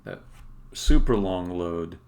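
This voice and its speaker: A man thinking aloud while live-coding as a game developer, halting and muttering to himself, with keyboard typing and clicking around him. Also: sits low, darkish tone. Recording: faint background noise, keyboard typing. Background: computer keyboard typing and clicking.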